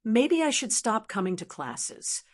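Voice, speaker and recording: A woman voicing an anxious young woman in an audiobook, speaking quietly and defensively. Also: a little high, big pitch swings, measured pace. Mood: strained. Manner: quiet, strained.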